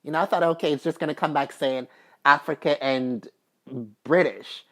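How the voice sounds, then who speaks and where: A woman's voice: deep voice, moderate pace. A woman vlogger talking casually and at length, chatty and repetitive with many fillers, and emphatic when she makes a point. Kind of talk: casual personal talk to camera.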